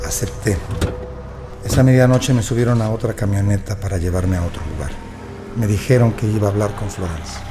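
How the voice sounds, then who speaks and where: A man's voice: on the low side, brisk, close to an everyday voice. An actor playing a subdued, wronged male prisoner, narrating his ordeal in the first person for an audio drama. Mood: somber recounting. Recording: loud music bed with vehicle sounds.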